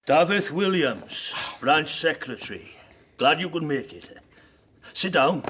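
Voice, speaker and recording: A man's voice: fairly high pitch, big pitch swings, slow pace, a bit bright, a little hiss or hum, exaggerated voice. An actor playing a blunt, fervent socialist miners' union man in a radio drama, speaking persuasively. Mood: forthright. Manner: forthright, rhetorical, persuasive.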